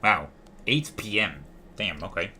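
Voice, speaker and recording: A man's voice: fairly low pitch, expressive, measured pace, pushed and bright, slight background noise. A man livestreaming a video game with casual commentary, often talking to himself as he plays. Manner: muttering reactions, talks to himself. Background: faint music.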